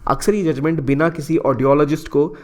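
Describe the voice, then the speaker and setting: A man's voice: a little high, steady tone, a little fast, darkish tone. A young man from India giving an explanatory current-affairs lesson for exam students, talking conversationally, dropping English phrases into his speech and often correcting himself mid-sentence. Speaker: exam-prep educator.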